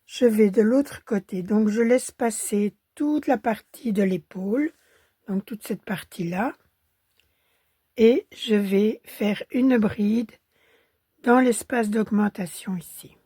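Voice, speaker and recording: A woman with a Belgian French accent, hoarse from a cold, calmly teaching a crochet pattern step by step and counting stitches under her breath. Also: medium pitch, slow pace, dry recording, clean studio sound.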